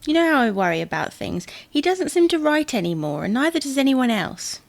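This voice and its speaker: Woman acting a fretful, stubborn wife, very animated. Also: sits high, a little fast, creaky, dry recording, full character voice. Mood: wistful. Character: fretful, stubborn technophobe wife, wistful and suspicious. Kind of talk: audio drama dialogue.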